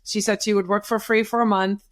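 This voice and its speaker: A woman's voice: mid-range voice, flat delivery, a little fast. A middle-aged woman whose first language is Dutch, giving career advice as a guest in an interview, stressing points by repeating them. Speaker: guest, social media business owner.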